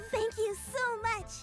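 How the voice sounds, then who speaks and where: A woman's voice: very high-pitched, steady tone, slow. A woman voicing a shy, earnest and polite little child in a video game, with hesitant delivery. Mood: shy, grateful. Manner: hesitant, apologetic. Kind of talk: video game character acting. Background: game music.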